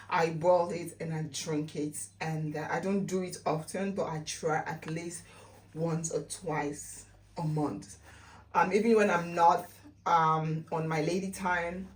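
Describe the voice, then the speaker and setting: A woman's voice: lowish voice, far from the mic, a little hiss or hum, poor audio. A woman with a Sierra Leonean accent chatting casually as a vlogger, repeating phrases for emphasis. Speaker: lifestyle vlogger. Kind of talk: casual chatty home-remedy vlog.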